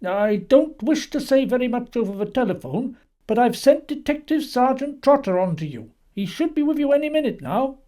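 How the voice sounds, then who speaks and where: Woman playing brisk police superintendent, storybook role: authoritative, formal, soft-edged tone, animated pitch. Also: lightly acted. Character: brisk, confident male police superintendent on telephone.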